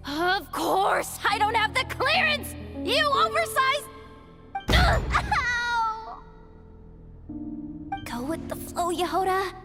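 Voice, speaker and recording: A woman's voice: very high voice, full character voice. A young woman playing a plucky, frazzled, determined technician in a video game, talking herself through problems in hesitant starts and outbursts. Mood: exasperated. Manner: hesitant then bursting out, self-talking.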